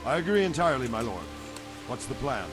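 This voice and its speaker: An actor playing a grave, loyal medieval captain, speaking formally in a video game drama. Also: male voice, mid-range voice, big pitch swings, brisk, close to an everyday voice. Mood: resolute. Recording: music behind the voice.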